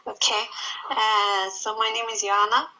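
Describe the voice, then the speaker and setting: A woman from Sweden, speaking English as a guest in a casual interview and pausing often on fillers. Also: medium pitch, level tone, sharp tone, dull audio. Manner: hesitant, many fillers, encouraging. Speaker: guest, jiu-jitsu event organizer.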